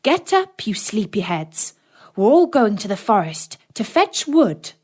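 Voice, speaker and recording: A woman's voice: mid-range voice, sing-song, unhurried, a little mellow, slightly rough. A woman voicing a harsh, scheming stepmother in a children's storybook, bossy and emphatic. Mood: bossy, scolding.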